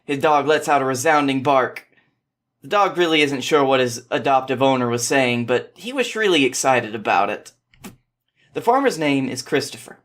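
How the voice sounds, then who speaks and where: A man guesting on a podcast, talking casually and reading a story aloud, with an informal, self-deprecating way about him. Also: mid-range voice.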